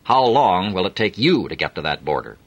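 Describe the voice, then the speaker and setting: A man's voice: deep voice, sing-song, brisk, husky, heavily acted. A man voicing a cold, threatening Soviet major. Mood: menacing.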